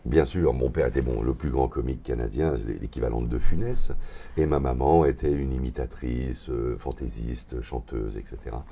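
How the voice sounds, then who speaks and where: A man's voice: very deep voice, normal speed, vocal fry, husky. An older man in his seventies, a voice actor, telling stories relaxedly in an interview, recorded with a lapel mic in a hotel lounge. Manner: relaxed storyteller, gestures while talking. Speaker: voice actor, guest. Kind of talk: interview conversation.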